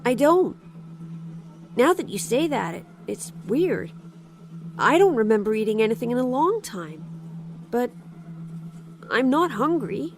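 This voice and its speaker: A woman's voice: a little high, big pitch swings, slight background noise. A woman voicing a dazed, innocent captive child, hesitant and puzzled, in an audio drama. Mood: puzzled, wistful. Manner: hesitant, halting.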